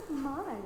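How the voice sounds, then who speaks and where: A woman's voice: high voice, a little mellow, roomy sound. A woman playing a gushing, flirtatious party guest in a video game.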